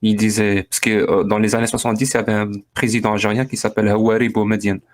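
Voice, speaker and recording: A man in a casual live-stream debate, explaining things in a calm, measured way. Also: fairly low pitch. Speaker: debate participant.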